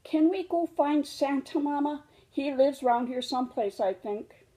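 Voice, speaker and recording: Woman as storybook bear cub, high-pitched, young, excitable, full of questions and wonder. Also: measured pace, poor audio. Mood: sleepy, eager. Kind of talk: children's storybook read-aloud.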